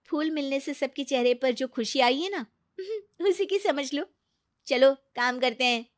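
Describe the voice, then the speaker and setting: Female narrator as kind, stubborn young storybook heroine, high lifted voice, dry sound. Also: measured pace, no room sound. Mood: cheerful. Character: kind, headstrong, independent young woman.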